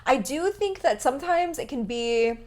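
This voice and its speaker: A woman's voice: sits high, expressive, normal speed. Agreeable woman podcaster, native Vietnamese speaker. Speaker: podcast co-host. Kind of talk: casual podcast chat.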